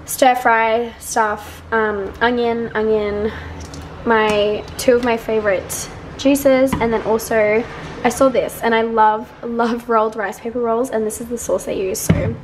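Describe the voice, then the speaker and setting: Hoarse, chatty woman. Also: fairly high pitch, steady tone, a little slow. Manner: chatty, bubbly, rambling. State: hoarse, lost voice. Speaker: vlogger. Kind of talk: casual vlog chat. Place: car and busy restaurant. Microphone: camera held at arm's length. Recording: loud crowd and road noise.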